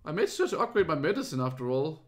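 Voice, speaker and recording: Danish-accented man streaming a horror game. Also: a little high. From Denmark. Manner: chatty, easily spooked, frequent fillers. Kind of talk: casual let's play commentary.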